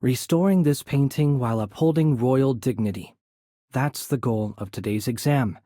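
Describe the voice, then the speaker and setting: A man playing a courteous, composed young noble mage in a video game, soft-spoken and slightly breathy, with polite, measured, formal phrasing. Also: medium pitch, no echo, studio quality, natural voice. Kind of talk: video game character voice acting.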